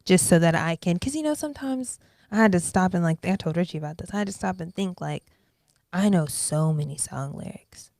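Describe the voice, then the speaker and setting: A woman in her twenties, a podcast guest, talking in a reflective, animated way with many fillers. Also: on the low side, brisk, vocal fry, no room sound, studio quality. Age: 20s. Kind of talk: casual interview chat.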